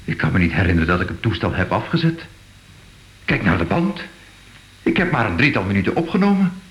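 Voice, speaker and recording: An actor playing a sober, thoughtful art investigator in a radio drama, speaking in a measured, pondering way. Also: male voice, on the low side, sing-song, highs cut off. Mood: puzzled. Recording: echoey, faintly noisy old radio play.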